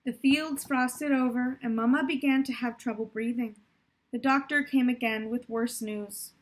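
A young adult woman reads a children's story aloud. Her voice sits a little high and has a husky edge. She delivers it as narration, like a teacher reading to a class. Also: fairly even intonation, moderate pace. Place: plant-filled room indoors by a window.

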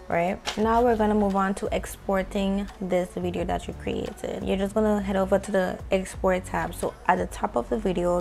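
A woman's voice: fairly low pitch, vocal fry. A young woman with a West Indian accent, walking through a video editing tutorial in a casual, conversational way and articulating her words with care. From West Indies. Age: young adult. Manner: relaxed, conversational, careful articulation. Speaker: content creator tutorial host. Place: small indoor home studio. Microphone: handheld microphone close to mouth.

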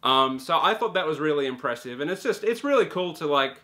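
A young man giving an animated, casual spoken review to camera in a small room, full of ums and casual swearing. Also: on the high side, brisk. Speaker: video game reviewer. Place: small indoor room with a brick wall and shelves.

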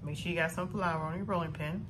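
A woman's voice: on the low side, fairly even intonation, fast, very noisy. A woman with a Southern US accent hosting her own cooking show, walking viewers through a recipe step by step in a homey, instructive way.

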